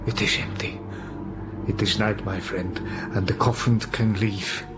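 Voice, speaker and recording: A man acts out an old foreign professor in a gothic audio play. He is patient, relentless and solemn, speaking very slowly and haltingly, with clear dramatic music underneath. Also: fairly low pitch, steady tone, natural voice. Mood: grave, deliberate. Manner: deliberate, halting, repeats words for weight. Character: grave elderly foreign professor, vampire hunter, patient but relentless.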